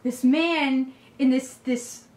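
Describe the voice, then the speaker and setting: A woman's voice: sits high, fairly even intonation, slow, darkish tone. A woman co-hosting a video channel, chipping into a casual improvised story with a playful, giggly manner. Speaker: co-host of a YouTube channel. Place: indoors, in a room set up for filming. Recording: roomy echo, faint noise.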